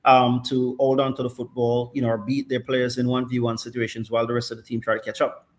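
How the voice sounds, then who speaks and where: A man's voice: medium pitch, monotone, fast talker. Thoughtful middle-aged male football pundit. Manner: thoughtful, frequent "right?" and "um". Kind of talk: casual analytical chat.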